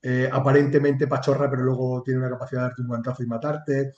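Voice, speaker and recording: Mellow Spanish man in an echoey room. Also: mid-range voice, level tone, fast talker, muffled recording. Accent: Spanish from Spain. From Extremadura, Spain. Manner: colloquial and candid, with mild swearing. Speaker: interview guest, chatbot marketing entrepreneur. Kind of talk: casual interview chat.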